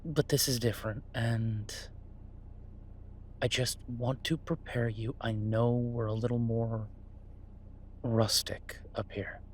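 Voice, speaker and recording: A man's voice: mid-range voice, fairly even intonation, slow, long pauses, slight background noise, lightly acted. A man acting as an easygoing chef talking to a partner in a naturalistic audio roleplay, conversational, hesitant and wry. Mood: gentle reassurance. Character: Beau, easygoing male chef, reassuring his werewolf partner. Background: ambient sound effects.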